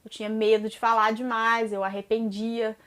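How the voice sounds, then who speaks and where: A woman's voice: a little high, level tone, moderate pace, a bit bright. Brazilian woman in her thirties, vlogging. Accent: Brazilian Portuguese. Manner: conversational, frequent "né?" tags and hesitant restarts. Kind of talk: personal vlog monologue answering viewer questions.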